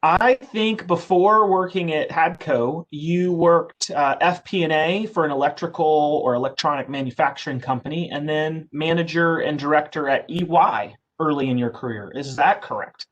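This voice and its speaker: A man's voice: a little high, moderate pace, hardly any pauses, muffled recording. A man hosting an interview who chats in a friendly way and reads out the guest's background. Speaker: interviewer, council host. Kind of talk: casual interview chat.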